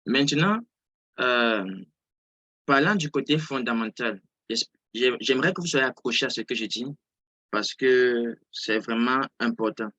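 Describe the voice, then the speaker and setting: A man with a bright, forceful voice teaches informally in a live online session. He is a non-native French speaker and often restates his words for emphasis. The sound is close and dry. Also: medium pitch, unhurried, dry recording, highs cut off. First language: not French. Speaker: trading instructor. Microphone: close mic on an online video call.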